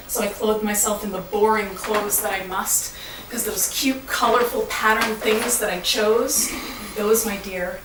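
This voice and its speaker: A woman delivering a rhyming spoken-word monologue in a dramatic, deliberate storytelling manner. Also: medium pitch. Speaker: monologue performer. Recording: echoey, noisy room from far off.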